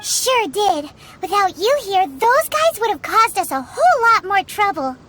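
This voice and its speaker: A woman voicing a small, excitable, childlike fairy companion in a video game, chirpy and blunt, with music behind her. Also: very high voice, a little slow, full character voice. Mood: excited. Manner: exclamatory, chirpy, refers to things bluntly. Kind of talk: scripted video game voice acting.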